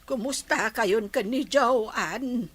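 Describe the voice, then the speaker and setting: Husky, very slow old grandmother. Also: on the high side, a bit bright, full character voice. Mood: matter-of-fact. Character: stubborn elderly grandmother clinging to long life. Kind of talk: radio drama acting.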